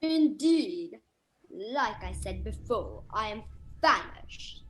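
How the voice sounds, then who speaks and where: A woman's voice: mid-range voice, big pitch swings, slow pace. A woman playing a stately count from an old castle family in a student radio play, formal and somewhat hesitant. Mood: pompous.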